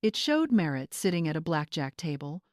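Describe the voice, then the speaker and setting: A woman's voice: sits low, sing-song, moderate pace, a little mellow, dry recording, clean studio sound. A woman reading dramatic scripted story narration.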